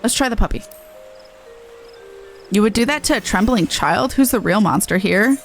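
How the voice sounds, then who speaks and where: A woman livestreaming a game, giving casual, playful and expressive commentary with some swearing. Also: medium pitch, normal speed, soft background music. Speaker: game streamer.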